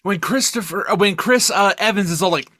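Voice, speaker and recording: A man co-hosting a podcast, chatting casually and profanely about films in an emphatic, rambling, excitable way. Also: high voice, fairly quick, no echo, studio quality. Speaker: podcast co-host. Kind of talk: casual, profane film review chat.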